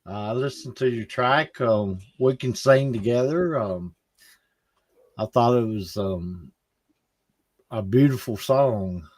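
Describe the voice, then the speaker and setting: Slow, hesitant podcast host man. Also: mid-range voice, a little mellow, no room sound. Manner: hesitant, many ums. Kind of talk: interview.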